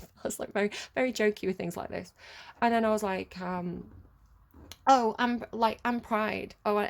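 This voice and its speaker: A woman's voice: fairly high pitch, vocal fry. A British woman giving a casual tarot reading, chatty and rambling, laughing often. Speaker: tarot reader.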